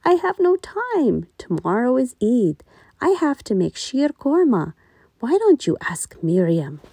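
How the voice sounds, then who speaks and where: Woman acting a loving, emphatic mother, pitched high. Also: sing-song, unhurried, gravelly, dark tone, breathy edge, no room sound, exaggerated voice. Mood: brisk refusal. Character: busy, loving mother of a grown son.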